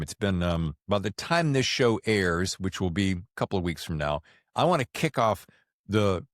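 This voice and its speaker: Low-voiced man hosting a podcast. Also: dry recording, clean studio sound. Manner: jokey, teasing. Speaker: podcast host. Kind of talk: casual conversational book interview.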